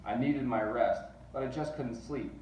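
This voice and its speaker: A man reads a rhyming storybook aloud with animated, rhythmic delivery. His medium-pitched voice sits back from the mic in an echoey room with faint noise. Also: moderate pace. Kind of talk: expressive storybook read-aloud. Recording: distant mic, roomy echo, faint noise.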